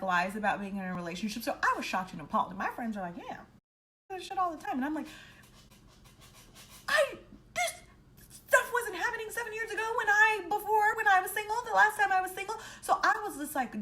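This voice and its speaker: A woman casually telling a personal story in an animated, slangy, heavily profane way, acting out the dialogue as she goes. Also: on the high side. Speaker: storytime vlogger.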